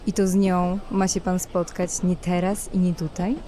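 A flirtatious, elegant lady acted by a woman in an audio drama, with a soft, mellow, lowish voice. She is self-assured and coy, teasing her companion, over a faint background noise. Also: little pitch movement, normal speed, lightly acted, poor audio. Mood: curious, teasing. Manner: coy, teasing, playful questioning. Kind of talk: Polish audio drama dialogue.

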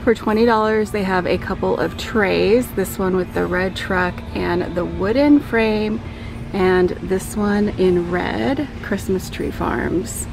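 A woman vlogger walking a store aisle with her phone, calmly listing decor items and their prices. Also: mid-range voice, slow, vocal fry, over music. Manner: calm, listing prices item by item. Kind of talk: shop-with-me narration. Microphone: handheld phone camera.

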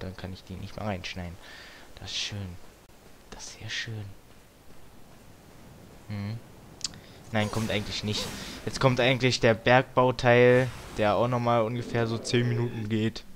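A man's voice: on the low side, flat delivery, measured pace, pauses often, background noise. A man giving casual, grumbling let's play commentary as he games, with some tongue clicking. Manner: grumbling, mild swearing. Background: video game music.

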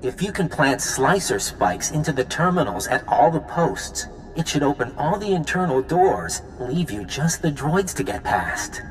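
Flirty resistance fighter, higher-pitched. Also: male voice, very animated, measured pace, few pauses, a little hiss or hum, low-quality recording. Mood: eager, briefing. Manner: playful, effusive, flirty. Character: flirtatious, upbeat male resistance fighter ally. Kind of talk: video game cutscene acting.

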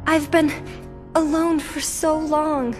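Woman as a sorrowful teen girl, high voice, hesitant, gentle, music behind. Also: level tone, takes it slowly. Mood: sad, guilty. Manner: soft, hesitant, apologetic. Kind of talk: animated game cutscene acting.

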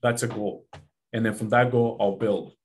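A man's voice: lowish voice, steady tone, normal speed, darkish tone. A man in his forties hosting a casual podcast chat, earnest and repeating himself for emphasis. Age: 40s. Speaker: podcast host. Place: small office room, video call.

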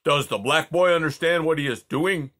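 A man's voice: a little high, moderate pace, fairly bright tone, pitched above the performer's own voice. Man playing a stern, formal old-church priest. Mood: wary. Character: stern shocked male Orthodox priest.

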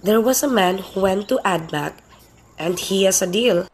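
A woman's voice: medium pitch, moderate pace, lightly acted. A woman plays a jealous snake in a homemade puppet show. The creature gloats and taunts in a drawl with hissing shushes, over faint background noise. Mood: insistent. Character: jealous, gloating snake spreading bad news.

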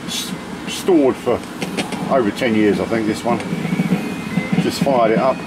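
A man's voice: fairly low pitch, measured pace, loud music behind the voice, low-quality recording. A man giving a casual, plain-spoken hands-on demonstration in short clipped phrases, picked up by a handheld camera's microphone. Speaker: presenter demonstrating vintage audio gear. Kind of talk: casual hands-on demo commentary. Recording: loud background hum, handheld camera.

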